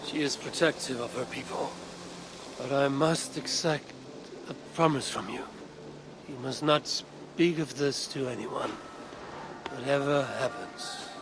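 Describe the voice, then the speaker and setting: An actor playing an earnest, curious Viking warrior leader in a video game, speaking deliberately. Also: male voice, medium pitch, noisy background, lightly acted. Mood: solemn. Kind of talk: video game cutscene acting.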